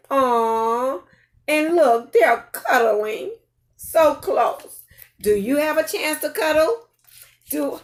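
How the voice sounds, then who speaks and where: Children's storytime host, older female, animated swooping pitch, slightly high voice, unhurried delivery, playful and interactive. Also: gravelly. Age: older, grandparent. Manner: expressive, playful, addresses children directly. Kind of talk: children's picture-book read-aloud with questions to young listeners.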